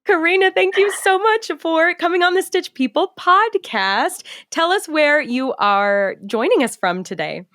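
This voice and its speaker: A woman's voice: extremely high pitch, lively intonation, measured pace, hardly any pauses, fairly bright tone, no room sound, clean studio sound, soft background music. A woman hosting a podcast, asking questions in an upbeat, encouraging way. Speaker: podcast host. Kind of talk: casual interview chat.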